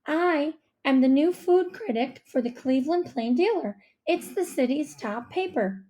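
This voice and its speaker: A woman's voice: high-pitched, expressive, a little slow, dark tone, pitched above the performer's own voice. A woman narrator voicing a proud, cautioning mother who explains things carefully in an audiobook. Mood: proud, explaining. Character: proud, cautioning mom, a food critic. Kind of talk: audiobook character voice.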